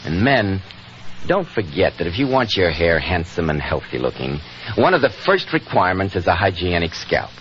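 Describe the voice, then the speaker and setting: Low, animated American radio announcer man. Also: moderate pace. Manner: polished, emphatic salesmanship. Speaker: announcer and sponsor pitchman. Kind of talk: 1940s radio advertising announcement. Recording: muffled 1940s radio recording, faint noise.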